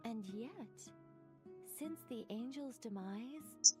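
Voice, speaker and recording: High-pitched, eloquent angel guide, music behind. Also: female voice, animated. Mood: wistful, reflective. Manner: articulate, formal, measured, philosophical. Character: wise, eloquent, composed female angel guide. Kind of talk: scripted video game voice acting.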